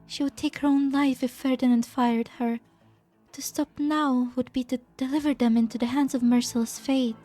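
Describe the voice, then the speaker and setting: Casting: actress for gentle, idealistic female scientist, high voice, earnest and formal, game dub. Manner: soft-spoken, earnest, formal. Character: gentle, elegant, idealistic woman scientist, serenely self-righteous. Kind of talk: scripted anime-game fan dub acting.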